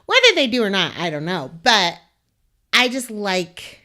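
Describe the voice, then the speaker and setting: A woman wedding photographer with a loud voice, chatting as a guest, gushing and enthusiastic with plenty of "like". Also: medium pitch, sing-song, measured pace. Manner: enthusiastic, gushing, filler-heavy "like". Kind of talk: casual interview chat.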